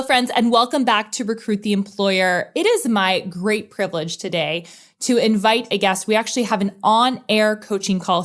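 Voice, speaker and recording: A woman hosting a career coaching podcast, upbeat and enthusiastic, talking in quick bursts. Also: medium pitch.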